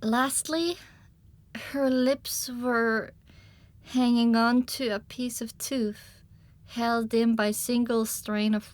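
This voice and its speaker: A woman's voice: a little high, no echo. A woman reading dramatic first-person audiobook narration, with music playing underneath.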